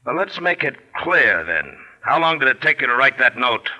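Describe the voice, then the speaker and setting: Hard-edged 1940s radio police captain. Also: male voice, medium pitch, big pitch swings, moderate pace, sharp tone, muffled recording, exaggerated voice. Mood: accusing. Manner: blunt, pressing, needling. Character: shrewd, dogged male police captain confronting a suspect.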